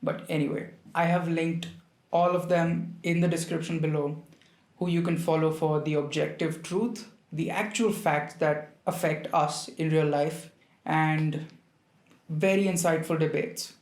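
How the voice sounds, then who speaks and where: A man's voice: on the high side, steady tone, unhurried. A man with an Indian accent giving an earnest, informal opinion monologue straight to his viewers. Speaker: video creator, commentator. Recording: roomy, echoing sound.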